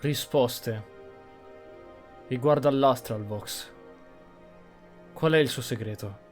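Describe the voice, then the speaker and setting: A man's voice: mid-range voice, slow pace. An Italian man giving casual roleplay commentary over a video game he is playing. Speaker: gameplay streamer. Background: game music.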